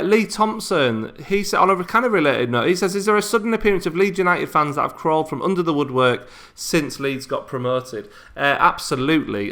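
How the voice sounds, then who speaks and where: Man, bright, chatty YouTuber answering subscriber questions. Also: mid-range voice. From England. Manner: chatty, informal, frequent ums and restarts. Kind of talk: casual subscriber Q&A.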